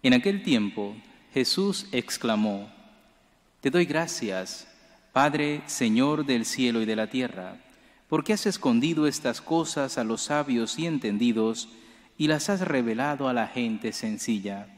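A young male priest gives a homily from a lectern microphone, earnest and measured, lifting his voice on key phrases. His pitch sits in the middle for a man. The church adds a long reverberant tail. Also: expressive. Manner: earnest, measured preaching with rising emphasis. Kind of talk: homily at Mass. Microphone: lectern gooseneck mic.

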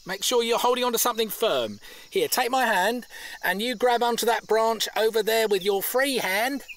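A man's voice: high-pitched, normal speed, fairly bright tone, a little hiss or hum. A man playing a mild railway surveyor in an audio drama. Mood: mild, coaxing.

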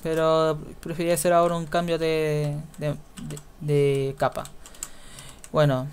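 A young man with a Chilean accent, giving casual gameplay commentary in a quiet mutter that repeats words in runs. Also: a little high, completely flat delivery, a little slow, smooth. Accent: Chilean Spanish. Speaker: gaming YouTuber.

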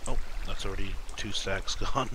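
A man with a deep voice giving casual, rambling commentary while playing a game, speaking softly and correcting himself as he goes. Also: steady tone, normal speed, heavy background noise. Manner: soft-spoken, rambling, self-correcting. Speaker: let's-play gamer.

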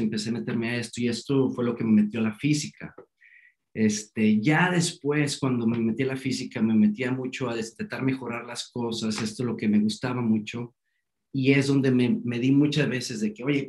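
A man with a mid-range voice walks through his research in a relaxed, filler-heavy way. He speaks over a video call microphone and often stops to gather his words. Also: medium pitch. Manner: casual, filler-heavy, says "este" a lot. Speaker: guest lecturer. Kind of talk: informal scientific talk.

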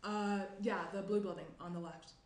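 A woman's voice: medium pitch, fairly even intonation, normal speed, close to an everyday voice. A young woman playing a flustered, distracted character, hesitant and apologetic, in a table read. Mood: flustered, polite. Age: young adult. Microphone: desk microphone. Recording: roomy indoor echo.

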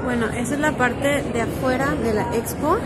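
A woman with a Mexican Spanish accent talks to the camera in a friendly, explanatory vlog style, her voice sitting a little high. She records on a phone held at arm's length. Loud music runs under her voice the whole time. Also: moderate pace, a loud crowd. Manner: chatty, explanatory, addresses viewers directly. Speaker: vlogger. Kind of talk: casual travel vlog narration. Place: parked car, then indoor expo hall.

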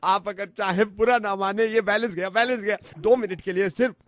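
A homesick labourer on a radio prank call, in a very high, bright voice. He pleads and wheedles insistently, full of sentiment for his wife. The sound is close and dry. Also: normal speed, dry recording. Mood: tearful pleading.

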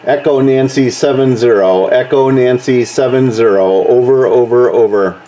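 A man's voice: mid-range voice, normal speed. Man at a noisy ham radio station. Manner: repeats words and phonetic call signs. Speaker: amateur radio operator. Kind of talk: narrating a home radio contact recording, with spoken call signs. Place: indoors, room with radio computer station. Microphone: camcorder held or set near the desk. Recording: steady background noise, radio hiss. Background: radio noise.

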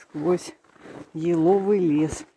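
A woman narrating a walk casually in short, unhurried, musing phrases, recorded outdoors on a handheld device. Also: lowish voice, steady tone, dark tone, slight background noise. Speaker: hiking vlogger. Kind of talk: casual narration while walking. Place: outdoors on a snowy forest trail.

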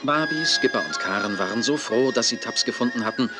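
A man acting as the calm narrator of a scripted children's audio play. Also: mid-range voice, brisk, lightly acted. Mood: storytelling. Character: calm male storyteller of a children's story. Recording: 1980s cassette audio play, music behind. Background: music.

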